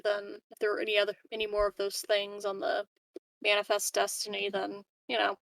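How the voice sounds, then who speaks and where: A woman's voice: medium pitch, creaky, no echo, natural voice, poor audio. A woman voicing a wary, uncertain space crew member in a tabletop game, hesitant and understated.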